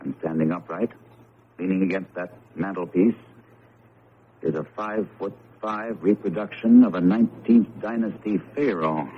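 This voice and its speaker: A man acting in a vintage radio drama as a hearty, pragmatic academic friend who explains things in a folksy, expressive way. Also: low-pitched, normal speed, close to an everyday voice. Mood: rattled, reasoning. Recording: noisy, muffled old radio broadcast.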